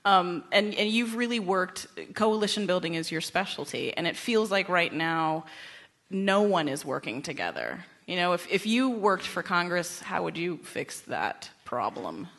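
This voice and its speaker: A woman's voice: on the low side, very animated, a little slow, a bit bright. An American woman hosting a live radio interview, with an audience behind her. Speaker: radio show host.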